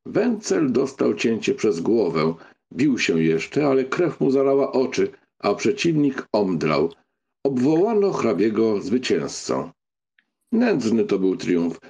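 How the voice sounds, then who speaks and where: Animated, husky male narrator. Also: on the low side. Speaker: audiobook narrator. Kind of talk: expressive scripted literary narration.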